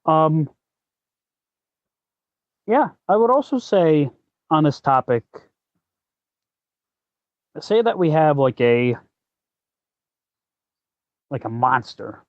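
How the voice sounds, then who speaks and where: A man's voice: on the high side, sing-song, drawn-out words, long pauses, dry recording, muffled recording. A man co-hosting a podcast in a casual discussion, thinking aloud as he goes and swearing now and then. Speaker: podcast co-host.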